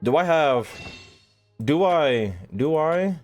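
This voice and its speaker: A man's voice: fairly high pitch, lively intonation, slow, a little mellow, faint music behind. A man chatting casually to his livestream audience while gaming, slangy and profane, with mock exasperation. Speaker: video game streamer talking to chat.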